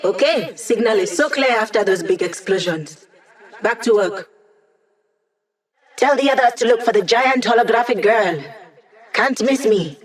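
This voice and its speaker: A woman's voice: fairly high pitch, expressive, unhurried, long pauses. A woman playing a brainy, wry digital hacker in a video game, talking casually and playfully as the squad's tech support. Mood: upbeat, playful. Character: cheeky, brainy female hacker, a digital holographic young woman.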